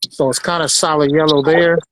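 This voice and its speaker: American male collector, fairly high-pitched, chatty and informal, doubles back on phrases. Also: level tone, a little fast, a bit bright, dry recording. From Los Angeles, United States. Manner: repeats phrases, informal slang. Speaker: sneaker collector and designer presenting his pairs. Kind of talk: casual show-and-tell chat.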